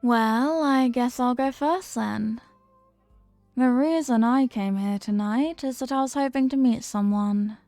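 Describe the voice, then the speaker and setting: A woman's voice: on the high side, measured pace, darkish tone, no room sound, soft background music. A woman playing a flirty, playful stranger at a New Year's party in an intimate audio roleplay, with a coy, teasing, murmuring delivery. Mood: flirty, hopeful.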